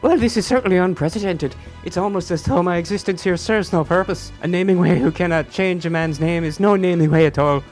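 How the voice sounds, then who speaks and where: A man's voice: sits high, fairly quick, hardly any pauses, a little mellow, soft background music, pitched above the performer's own voice, lightly acted. A man voicing a courtly, flowery wandering renamer from a video game, in a grandiose, formal, declaiming manner. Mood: indignant, theatrical.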